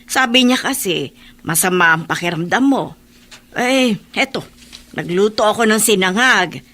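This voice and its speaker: A woman's voice: mid-range voice, lively intonation, measured pace, a bit bright. A woman with a Filipino accent playing a deferential older household helper in a radio drama, folksy and fretful. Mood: chatty, cheerful.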